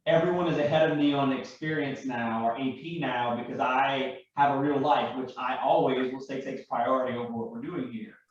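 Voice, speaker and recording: A man's voice: medium pitch, fairly even intonation, moderate pace, hardly any pauses. A man chatting casually and enthusiastically as he explains game rules, rambling and correcting himself. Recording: echoey room, distant mic, muffled sound.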